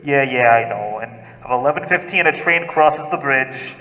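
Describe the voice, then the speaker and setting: A man's voice: mid-range voice, normal speed, bright, forceful tone, muffled recording, close to an everyday voice. A man playing a cold-blooded, businesslike hired killer in an old-time radio thriller, curt and sure of himself. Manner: curt, matter-of-fact, reassuring his accomplice. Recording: echoey hall with long reverb.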